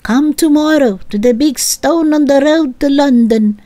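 Woman voicing high, proclaiming royal servant. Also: measured pace, dark tone, no room sound. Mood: grave, urgent. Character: earnest, urgent royal servant bearing grave news. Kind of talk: storybook character voice.

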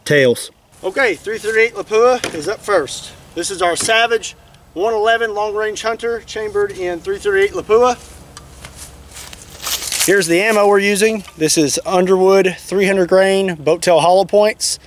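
A young man with a Kentucky accent talks in an easygoing, plainspoken way, thinking aloud as he goes. His voice sits a little high for a man. He is recorded by a camera mic out in an open field, with faint noise behind him. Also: a little slow. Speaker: shooting channel host. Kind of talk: casual outdoor demo narration. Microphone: camera mic, sometimes at a distance.

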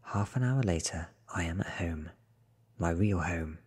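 A man in his thirties giving a soft-spoken, hushed ASMR audiobook reading, breathy and often close to a whisper, slow and deliberate, close to the microphone. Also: deep voice. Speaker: reader.

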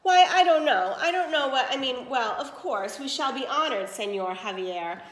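A woman's voice: a little high, moderate pace, bright, forceful tone, echoey room. A woman reading aloud, voicing a flustered, haughty middle-aged stepmother who stammers in a storybook.

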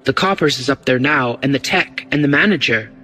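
A man's voice: medium pitch, brisk, sharp tone, faint music behind. A man voicing a glib, eager porter in an audiobook, with a working-class London accent and a confiding, deferential manner. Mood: gossipy, excited.

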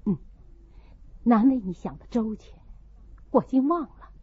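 A woman narrator puts on a cajoling husband of a wealthy house in a classic-novel audiobook. He wheedles and grumbles in a husky, expressive voice. The recording sounds slightly dull. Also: fairly high pitch, measured pace, heavily acted. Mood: placating. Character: rich husband, cajoling and easily exasperated.

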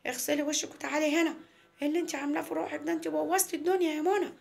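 An emphatic storybook dad with a quick, high delivery, played by a woman. He is soft-hearted yet blunt. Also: steady tone, gravelly. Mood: firm. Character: indulgent, baffled father turning firm.

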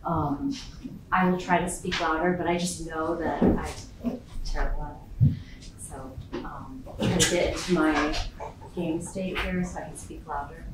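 A soft-spoken woman, the guest speaker, giving a talk with a quiet voice in a lecture hall. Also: low voice, fairly even intonation, measured pace. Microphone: far camera, no mic. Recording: very echoey hall from far off with hiss.

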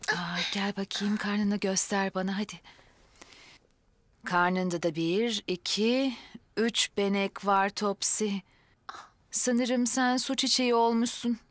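An actress playing a caring young babysitter in a dubbed children's drama, speaking in a gentle, attentive and reassuring way. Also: female voice, medium pitch, steady tone, slow pace, no echo, close to an everyday voice. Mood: soothing, concerned. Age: young adult. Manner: soothing, attentive.

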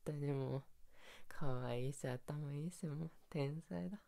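A man's voice: on the high side, unhurried, gravelly, warm tone. A young man acting as an affectionate dog owner in an ASMR roleplay, cooing and playfully baby-talking to his pet. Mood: doting. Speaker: ASMR voice performer. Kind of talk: Japanese ASMR situation voice roleplay.

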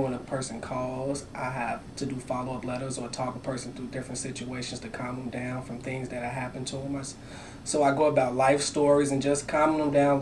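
A man's voice: mid-range voice, darkish tone, recorded from a distance, a little hiss or hum. A man in his thirties who handles claims, speaking reassuringly and a little hesitantly. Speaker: claims staff. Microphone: handheld mic.

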